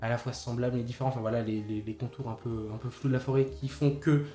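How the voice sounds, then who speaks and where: A man's voice: mid-range voice, steady tone, quick pace, dark tone. A man discussing films casually, hesitating and repeating words as he thinks. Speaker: podcast co-host. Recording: slightly roomy podcast recording with music behind.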